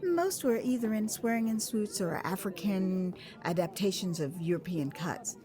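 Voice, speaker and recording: An American woman narrating her own story as a dramatized audiobook, expressive and theatrical, with conversational asides. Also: medium pitch, moderate pace, crowd noise. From United States. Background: music.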